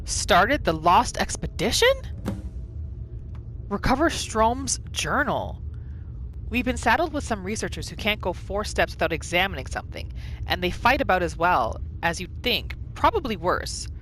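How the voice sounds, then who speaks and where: Woman, mid-pitched and highly animated, casual roleplay gaming commentator who reacts aloud to herself. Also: normal speed, a little hiss or hum. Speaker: gaming video host. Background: game ambience.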